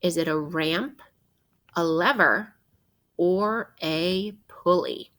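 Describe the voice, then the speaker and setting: A woman with a slightly low voice reads aloud like a teacher to young children. She takes her time, very slow and deliberate, in an interactive, instructive style that invites listeners to think. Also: a bit bright. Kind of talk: interactive picture-book read-aloud.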